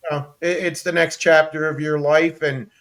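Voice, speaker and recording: Higher-voiced American man, effusive interview host. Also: flat delivery, normal speed, fairly bright tone. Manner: effusive, appreciative. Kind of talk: casual conversational interview.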